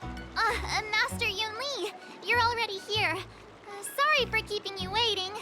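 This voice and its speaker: A woman's voice: very high voice, moderate pace. An actress voicing a cheerful, cutesy teenage girl in a game, bubbly and playful in manner. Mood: sheepish. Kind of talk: game cutscene acting. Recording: prominent music behind the voice.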